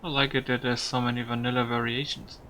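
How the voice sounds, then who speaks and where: A man's voice: medium pitch, flat delivery, fast, bright, forceful tone, slight background noise. A man giving casual video game commentary, musing aloud with some mild swearing. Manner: musing aloud, mildly profane.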